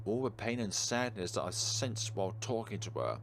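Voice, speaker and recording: Low-voiced man reading dramatically, noisy recording. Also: sing-song, normal speed. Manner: theatrical, emphatic reading with interjected reactions. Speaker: let's player reading a visual novel aloud. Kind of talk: dramatic read-aloud narration with casual gameplay commentary. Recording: clearly noisy background.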